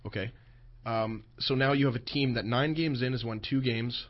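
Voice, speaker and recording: A man co-hosting a sports radio show, joking around and giving animated hockey opinions. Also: medium pitch, normal speed. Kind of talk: casual sports radio talk. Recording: dull lo-fi broadcast with faint music.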